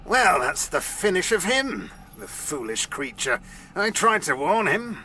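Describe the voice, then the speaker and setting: Casting: grandiose demon-lord villain of audio drama, highish sharp voice, animated swoops, oily menace. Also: male voice, unhurried, a little hiss or hum, full character voice. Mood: scornful. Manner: theatrical, grandiose, oily and scornful. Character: pompous, menacing, possessive fairy king, a demon lord.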